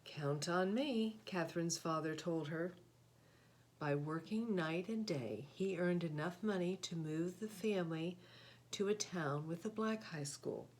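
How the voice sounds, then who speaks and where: A woman reading a children's picture book aloud, with deliberate, expressive phrasing and short explanatory asides. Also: lowish voice. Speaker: read-aloud host, storyteller.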